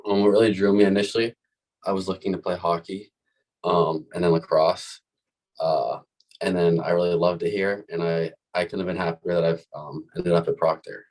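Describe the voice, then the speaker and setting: A man's voice: low voice, steady tone, moderate pace, darkish tone, poor audio. A teenage boy from New Hampshire on a student panel, introducing himself in an easygoing way with hesitant fillers. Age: teens. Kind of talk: casual introduction.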